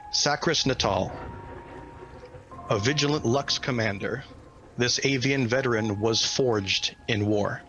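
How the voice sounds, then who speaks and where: A man hosting a tabletop game as game master, with deliberate, dramatic storyteller phrasing. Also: medium pitch, pushed and bright, poor audio. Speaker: game master, host and game developer. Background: music.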